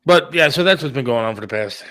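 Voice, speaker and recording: A man's voice: medium pitch, expressive, fast, bright, forceful tone, no room sound. Casual man with a dry, close sound. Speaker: radio producer and talk show host. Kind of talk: casual chat about an upcoming radio show.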